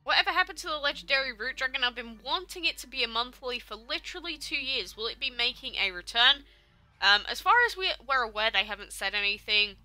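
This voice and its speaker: A woman's voice: on the high side, expressive, quick pace, sharp tone, no room sound, faint music behind. A young woman, streaming a game live and chatting casually as she answers viewers' questions. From England. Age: young adult.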